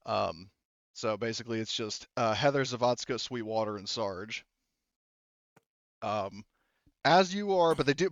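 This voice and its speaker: Guy game mastering, smooth clear voice, casual n halting, swears a bit, dry slightly muffled mic. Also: medium pitch, dry recording. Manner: halting, informal, swears freely. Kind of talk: casual tabletop game narration.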